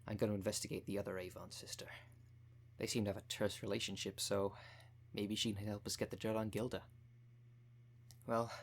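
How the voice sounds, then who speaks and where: Man playing a low-voiced, determined investigator. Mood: protective, serious. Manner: direct, earnest, plain-spoken. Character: determined, protective undercover investigator rescuing a parent. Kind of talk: scripted audio roleplay.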